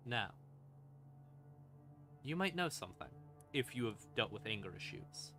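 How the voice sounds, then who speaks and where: A man streaming an informal lore explainer as a storyteller, with a theatrical, halting delivery and dramatic pauses. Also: mid-range voice, big pitch swings. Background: eerie ambient music.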